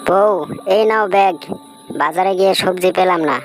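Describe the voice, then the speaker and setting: A woman's voice: fairly low pitch, steady tone, moderate pace, faint music behind, lightly acted, poor audio. A woman voicing a naive, literal-minded male fox husband in a comic cartoon. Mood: sheepish.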